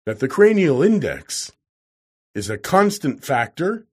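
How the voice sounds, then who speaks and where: Audiobook role: hot-tempered, mocking professor played by a man, loud and challenging, swinging pitch, husky edge. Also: very animated, unhurried, exaggerated voice. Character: huge, bearded, roaring, hot-tempered, arrogant male professor. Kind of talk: audiobook character voice.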